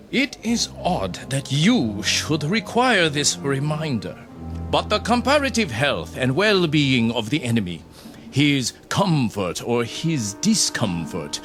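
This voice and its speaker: A merciless military captain in a radio drama, his fairly high voice swinging dramatically from menace to command. He is contemptuous and deliberate, taking his time over each phrase. Music runs beneath the speech. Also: fairly bright tone, full character voice. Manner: commanding, cold, menacing, deliberate emphatic delivery. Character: ruthless, contemptuous Japanese army captain, a man. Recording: music under the voice.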